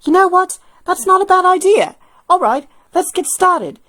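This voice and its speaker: A woman's voice: extremely high pitch, lively intonation, normal speed, a bit bright, pitched above the performer's own voice. A woman voicing an earnest young woman who manages a zoo, in an audiobook-style story reading. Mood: friendly, agreeable.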